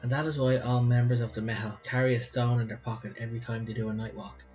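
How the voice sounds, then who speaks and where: A man's voice: mid-range voice, level tone, quick pace, darkish tone. A man with an Irish accent co-hosting a podcast, telling stories and chatting casually. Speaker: podcast co-host. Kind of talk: storytelling and casual chat. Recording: slightly dull sound, music present.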